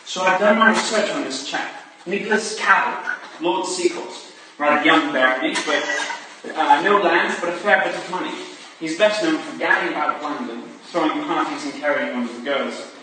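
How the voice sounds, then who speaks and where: In a very echoey, noisy room, an actor plays a chatty Victorian society gentleman in a staged reading. His voice is a little high and genial. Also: normal speed, distant mic, natural voice. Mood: chatty, busy.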